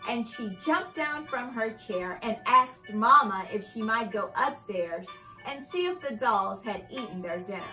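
A young adult woman reading a children's story aloud with expressive, carefully enunciated storytelling delivery. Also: on the high side, low-quality recording. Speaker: host and reader of children's read-aloud show. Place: indoor living room by a fireplace.

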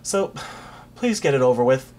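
A man's voice: mid-range voice, expressive, moderate pace, dark tone, slight background noise, natural voice. A man voicing a polite young boy who speaks plainly and with resignation. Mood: resigned.

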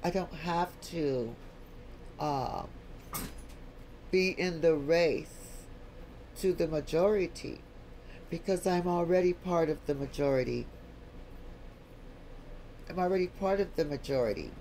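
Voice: medium pitch, takes it slowly, long pauses, a little mellow, slight background noise, poor audio. An American video blogger speaking over a screen recording in an unscripted lecture, repeating key points for emphasis. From United States. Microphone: voice-over, not recorded in the pictured place.

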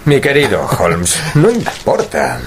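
Inquisitive gentleman sidekick, polite, formal, hugely varied pitch, radio play, light background noise. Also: wildly swooping pitch, slow, full character voice. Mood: hesitant, kindly. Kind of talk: radio drama acting.

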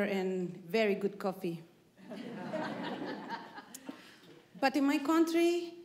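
A woman from Honduras with a Spanish accent, speaking English as a panelist on stage, halting and searching for words. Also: mid-range voice, a little mellow. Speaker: panelist, peacebuilder. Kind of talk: panel answer. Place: large theater stage. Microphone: handheld mic. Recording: roomy theater sound.

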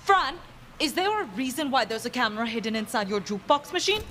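A woman's voice: on the high side, very animated, fast, bright, forceful tone, a little hiss or hum. A woman acting in a video game as an earnest young female inventor who rambles in precise, awkward technical language. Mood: wry, muttering.

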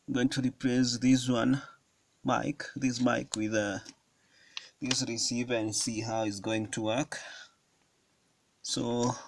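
A man giving a hands-on electronics tutorial at a table, explaining each step slowly with frequent fillers. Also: medium pitch, level tone, gravelly, slight background noise. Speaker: electronics hobbyist demonstrating a circuit. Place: indoors at a wooden table.

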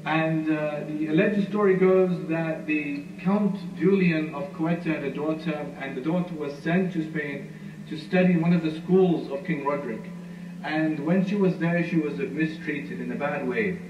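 A man giving a history lecture, telling the story at an unhurried storyteller's pace with frequent "uh" fillers. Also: a little high. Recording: muffled, roomy, far from mic, noisy.

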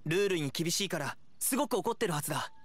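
Animated, scolding young hunter. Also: male voice, fairly high pitch, fast talker, breathy edge. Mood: stern advice. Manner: reproving, principled. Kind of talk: anime game voice acting.

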